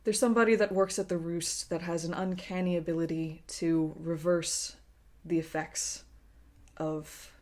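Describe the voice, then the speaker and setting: A woman voicing a grave, resigned man who speaks in a quiet, measured, serious way in a tabletop game. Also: on the low side, level tone, lightly acted.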